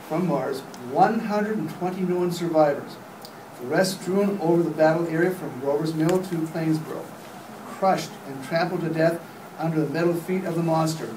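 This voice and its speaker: A man's voice: on the high side, natural voice. Man acting grave radio-play announcer, distant, noisy recording. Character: grave radio announcer delivering disaster news. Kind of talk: live radio play reading. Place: hotel meeting room, panel table. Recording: distant roomy noisy hand-held camera recording.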